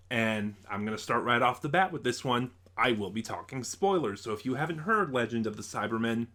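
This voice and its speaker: A man hosting a casual spoken review, talking in halting phrases with thoughtful pauses. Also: mid-range voice, fairly bright tone. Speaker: reviewer, channel host.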